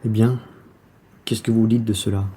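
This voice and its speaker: A man's voice: on the low side, flat delivery, moderate pace, mellow, slightly rough. A man playing a crafty, self-serving merchant husband in a radio play, familiar and cajoling in manner.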